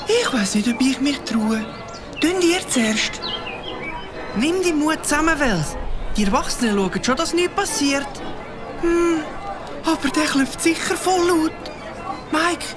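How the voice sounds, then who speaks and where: A timid but friendly Swiss German girl. She speaks high and sing-song, with music underneath. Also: lively intonation, measured pace, long pauses, crowd noise. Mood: hesitant. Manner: hesitant, friendly. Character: cautious young girl among friends.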